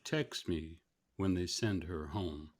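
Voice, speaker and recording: A man's voice: deep voice, slow. A man playing a terse, guarded character in a sci-fi screenplay table read, understated and clipped. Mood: curt, guarded.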